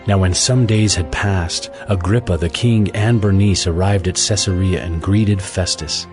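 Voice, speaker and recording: A man's voice: low-pitched, steady tone, unhurried, music in the background. A man narrating scripture as the storyteller of a dramatized audio Bible.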